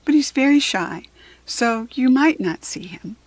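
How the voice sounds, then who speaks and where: A woman's voice: sits high, expressive, a little slow, no room sound, voice pushed up, rough recording. Woman as a friendly storybook head ranger. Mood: cheerful. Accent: American. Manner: cheerful, explanatory.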